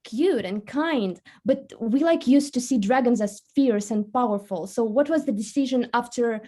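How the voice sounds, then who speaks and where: A woman's voice: on the high side, normal speed, dark tone. A woman, a native Russian speaker, interviewing in a casual, conversational way.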